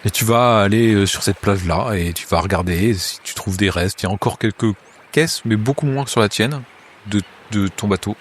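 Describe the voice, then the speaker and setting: A man running a tabletop horror game as game master, narrating scenes to a player in a halting way with many hesitations. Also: lowish voice, a little hiss or hum. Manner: hesitant, many filler sounds and restarts; second-person scene narration.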